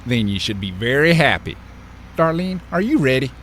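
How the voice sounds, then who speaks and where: An actor playing a gruff, protective and threatening boyfriend in an audio drama, brusque and impatient. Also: male voice, medium pitch, very animated, moderate pace, a bit bright, slight background noise.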